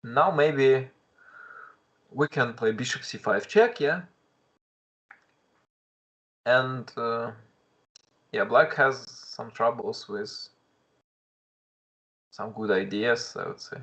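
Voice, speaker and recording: A man's voice: mid-range voice, slow pace, pauses often, fairly bright tone. A young man with a Russian accent, commentating a live chess stream in English, thinking aloud with frequent restarts and greeting viewers in chat.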